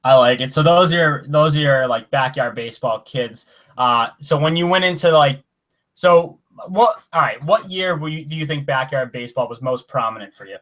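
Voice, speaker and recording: A man in his twenties hosting a podcast, asking questions in a relaxed way with fillers and restarts. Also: fairly high pitch, moderate pace. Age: 20s. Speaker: podcast host. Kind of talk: casual podcast interview.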